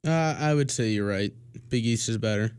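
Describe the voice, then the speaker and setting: An American man co-hosting a sports talk show, giving opinions in a casual, halting way. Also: on the low side, darkish tone, smooth, no room sound.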